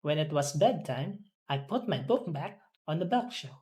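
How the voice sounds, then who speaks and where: An English student carefully reading words and sentences aloud, repeating them deliberately as in a pronunciation drill. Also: mid-range voice, lively intonation, darkish tone. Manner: deliberate, repeating after a teacher.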